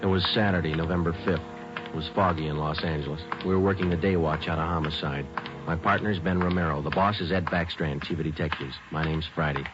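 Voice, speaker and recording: Clipped no-nonsense cop, deep low voice, rattles it off quick, dull old radio sound. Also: male voice, music in the background. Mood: flat, businesslike. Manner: clipped, flat, businesslike delivery. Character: terse, matter-of-fact male police sergeant. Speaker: police detective sergeant narrator. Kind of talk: 1950s radio crime drama narration.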